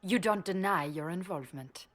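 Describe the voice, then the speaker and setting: An actress playing a sly, cool royal spymaster in a fantasy game, poised and mocking. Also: female voice, fairly low pitch, normal speed, close to an everyday voice. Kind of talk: cinematic fantasy game dialogue.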